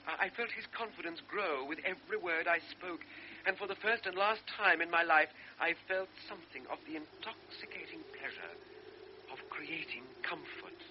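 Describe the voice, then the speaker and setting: A man's voice: on the high side, moderate pace, lightly acted. Brief: man playing a young officer, stammering, raised voice, dull hissy radio sound. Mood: reflective. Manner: hesitant, stammering. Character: young cavalry lieutenant, guilt-torn and hesitant. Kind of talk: radio drama acting and first-person narration. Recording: dull old radio recording with hiss.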